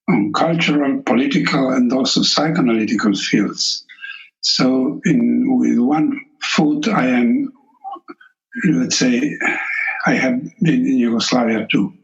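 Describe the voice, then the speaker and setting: A man from Italy whose first language is Slovenian, a psychoanalyst answering interview questions in a measured, deliberate way. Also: mid-range voice, vocal fry, lo-fi sound. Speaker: psychoanalyst guest.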